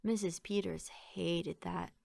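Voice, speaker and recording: A woman reading a rhyming children's story aloud in an expressive, sing-song way. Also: fairly low pitch, slow, gravelly, no room sound, studio quality. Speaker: picture book reader.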